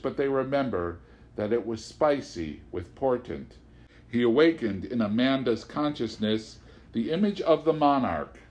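Expressive male storyteller reading literary fiction. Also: medium pitch. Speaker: audiobook reader. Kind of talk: literary prose narration, read aloud.

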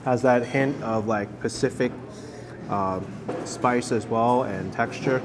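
A man's voice: mid-range voice, slow pace, over music. Man eagerly tasting food on camera. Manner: enthusiastic, savoring each bite with "mm". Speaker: food vlogger. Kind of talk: casual food vlog narration. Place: roadside restaurant in a hot desert town.

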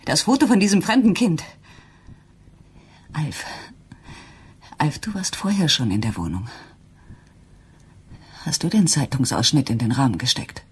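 An actress playing a distraught, quietly accusing wife in a German radio crime drama, halting and intense, often dropping toward a whisper. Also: female voice, sits low, lively intonation, husky, exaggerated voice.

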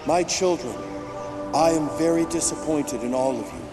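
A man voicing a calm, preachy Jesus figure in a video game cutscene. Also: fairly high pitch, moderate pace, lightly acted. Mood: solemn. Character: gentle, solemn Jesus figure, adult man. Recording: game cutscene, long reverb, loud music.

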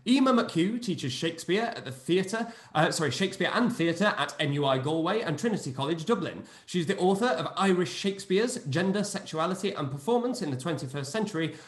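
Lively high-voiced male host on a call. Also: roomy sound. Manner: brisk, announcing names in a lively sing-song. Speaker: host and producer. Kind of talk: reading cast introductions on a video call. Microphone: video call.

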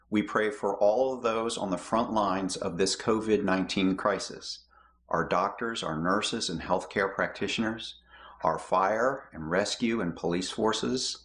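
Slow, steady middle-aged man leading prayers. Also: lowish voice. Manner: measured, steady reading. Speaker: lay prayer leader. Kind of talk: reading intercessory prayers. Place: home office, indoors.

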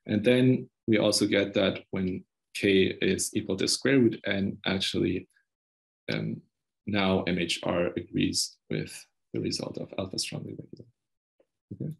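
Low-voiced young man, creaky, presenting. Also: poor audio. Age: young adult. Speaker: researcher presenting a paper. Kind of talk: technical conference talk over slides.

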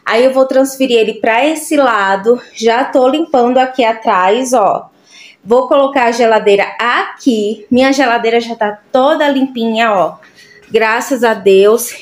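Chatty Brazilian woman in an echoey kitchen. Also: fairly high pitch. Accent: Brazilian Portuguese. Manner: chatty and affectionate, fond of diminutives. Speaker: home vlogger. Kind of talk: casual cleaning vlog narration. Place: home kitchen.

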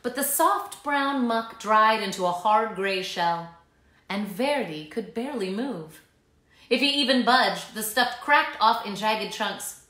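A woman's voice: mid-range voice, lively intonation, measured pace, pushed and bright. A woman in her thirties reading a children's story aloud in a dramatic, emphatic way in a living room. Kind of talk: expressive children's read-aloud. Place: small living room, indoors. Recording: echoey room sound.

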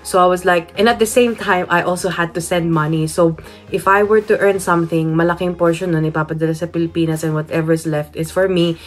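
Candid Filipina woman, lowish voice. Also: fairly even intonation, quick pace, faint music behind. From Philippines. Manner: candid and earnest, with frequent fillers and restarts. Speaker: vlogger. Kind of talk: personal storytelling vlog, switching between English and Tagalog. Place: indoors, small room with wooden panelling. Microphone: camera at close range, facing it.

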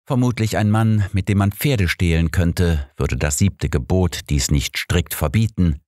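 A man narrating a detective story in the first person, in a measured, literary manner. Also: low voice, darkish tone, no echo, clean studio sound. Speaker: audiobook narrator.

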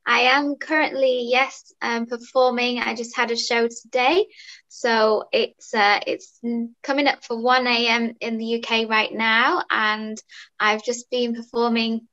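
A woman's voice: fairly high pitch, flat delivery, measured pace, sharp tone. A woman in her thirties, a singer, chatting upbeat with fillers as a guest over a video call. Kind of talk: casual interview chat. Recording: dull lo-fi video call audio.

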